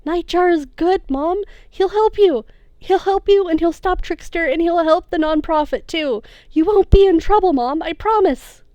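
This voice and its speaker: A woman voicing an earnest, pleading teenage boy sidekick in a storybook reading, speaking high and urgent. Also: moderate pace, few pauses, no room sound, lo-fi sound, natural voice.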